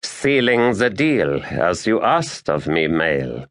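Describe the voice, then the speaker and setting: A man's voice: low voice, sing-song, slow, a bit bright, exaggerated voice. A man voicing a world-weary, sardonic ancient male sorcerer of ice in a fantasy audiobook, dry and solemn. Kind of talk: audiobook character acting.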